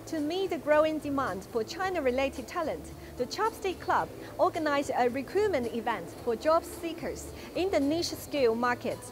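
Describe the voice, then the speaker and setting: A woman's voice: high voice, animated, normal speed, hardly any pauses, over music. A woman reporter delivering a scripted piece to camera. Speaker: on-location reporter. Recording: clear ambient noise on location.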